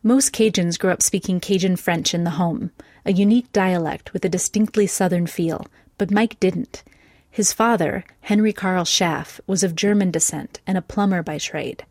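Woman, lowish voice, dry close studio sound, measured documentary narration. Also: darkish tone, dry recording. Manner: measured and clear.

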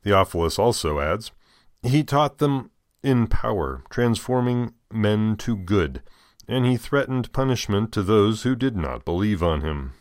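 Low-voiced American man reading scripture. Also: expressive, unhurried, no room sound, clean studio sound. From United States. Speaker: deacon, host of a scripture commentary program. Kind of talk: devotional scripture reading with informal commentary.

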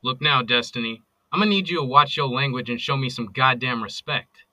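An actor with an American accent playing a possessive, manipulative ex-boyfriend in an audio drama, coaxing and volatile. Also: male voice, medium pitch, a little fast, pushed and bright, no room sound, poor audio. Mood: irritated, menacing. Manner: volatile, coaxing with pet names, swearing. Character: possessive, manipulative abusive ex-boyfriend, pleading and menacing.

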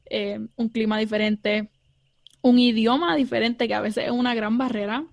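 A woman's voice: on the high side, fairly even intonation, moderate pace, gravelly, dry recording, studio quality. A young Puerto Rican woman, a theology student, answering interview questions earnestly with many fillers and some English words. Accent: Puerto Rican Spanish. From Puerto Rico.

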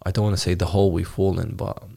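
A very low-voiced man with a gravelly edge asks questions in a loose, conversational way. He often stops and restarts his sentences, circling around his point before landing on it. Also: flat delivery, dark tone. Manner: halting, many restarts and fillers. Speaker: interviewer. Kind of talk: casual interview.

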